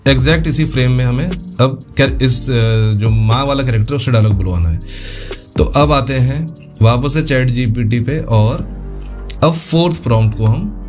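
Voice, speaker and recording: A male tutorial host with a medium-pitched voice explains software steps in an informal way. He thinks aloud as he demonstrates, and music is clearly audible behind his speech. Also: normal speed. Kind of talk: casual step-by-step software tutorial.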